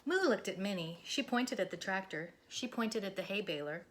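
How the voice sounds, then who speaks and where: A woman narrating a children's storybook aloud in a deliberate, expressive school read-aloud style. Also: medium pitch, slight background noise. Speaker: elementary school teacher reading aloud.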